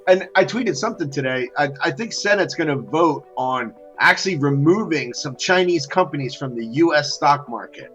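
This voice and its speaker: A man co-hosting a casual political talk show, animated, repeating words and saying "you know" a lot. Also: a little high, normal speed, hardly any pauses, highs cut off, faint music behind. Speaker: podcast co-host.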